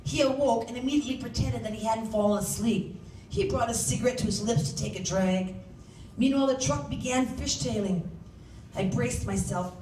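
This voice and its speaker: A woman reading a first-person story aloud as its author, with an animated, dramatic delivery. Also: on the high side. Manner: animated, acts out shouted dialogue. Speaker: author reading from their own novel. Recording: roomy, distant mic, faint background noise.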